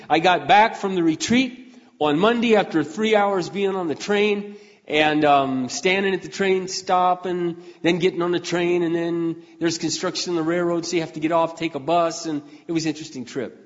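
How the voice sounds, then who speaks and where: An older man preaching a folksy, conversational sermon as pastor, often clearing his throat and restarting his sentences. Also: a little high, moderate pace. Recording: dull, muffled sound with long hall reverb.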